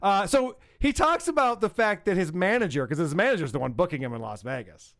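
Male host, sarcastic commentary, high animated pitch, dry recording. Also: brisk, no echo. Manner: sarcastic, mocking. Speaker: podcast host. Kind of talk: casual podcast commentary.

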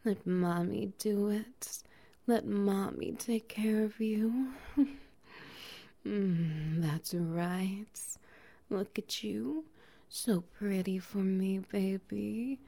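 A woman voicing a doting, dominant female bear-woman, whispering softly and teasing with breathy laughs in an intimate audio roleplay. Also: medium pitch, very slow, exaggerated voice. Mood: sultry, doting.

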